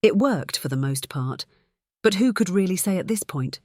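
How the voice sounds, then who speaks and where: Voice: mid-range voice, lively intonation, moderate pace, no echo, studio quality. An audiobook narrator reading a scripted story.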